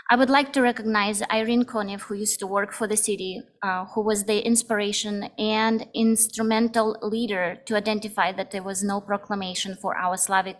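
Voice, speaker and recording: A woman's voice: medium pitch, fairly bright tone. Hesitant woman giving formal council remarks. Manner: hesitant, frequent ums and uhs. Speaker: community group co-chair presenting to council. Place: city council chamber.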